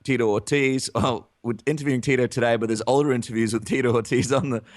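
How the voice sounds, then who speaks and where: A man's voice: medium pitch, steady tone, fast talker, a little mellow, dry recording, clean studio sound. A man from Ukraine co-hosting a combat sports podcast in casual chat, laughing often as he talks. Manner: chatty, laughs often, promotes channels. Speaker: podcast co-host.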